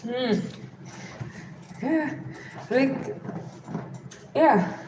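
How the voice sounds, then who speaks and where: A woman's voice: sits high, extremely slow, highs cut off. A young woman from Kenya with a Western Kenyan accent, hosting a casual livestream and repeating pleas to like and subscribe. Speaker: livestream host. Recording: echoey room with background noise.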